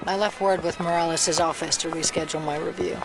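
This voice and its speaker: An actress playing a brisk, sceptical, no-nonsense federal agent in an audio drama at a busy fair. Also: female voice, lowish voice, fairly even intonation, vocal fry, low-quality recording. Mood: dry reluctance. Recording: loud fairground crowd and music.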